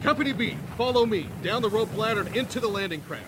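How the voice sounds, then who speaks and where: A man's voice: sits high, lively intonation, quick pace. Man acting a tough Marine sergeant, battle noise behind. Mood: urgent, shouting. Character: tough battle-hardened male Marine sergeant barking orders. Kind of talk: radio war drama acting. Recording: noisy, gunfire and battle sounds behind.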